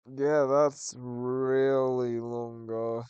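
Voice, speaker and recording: Slow-talking man losing his voice. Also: mid-range voice, flat delivery, a little mellow, smooth, dry recording, highs cut off. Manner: rambling, hesitant, thinking aloud. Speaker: gaming channel host. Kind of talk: casual chat to camera.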